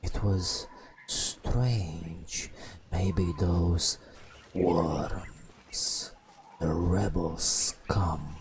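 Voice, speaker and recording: A man telling a bedtime story in an ASMR style, in a hushed breathy whisper with drawn-out phrases and long pauses. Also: noisy background. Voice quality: breathy whisper with creaky low murmur. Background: video game ambience.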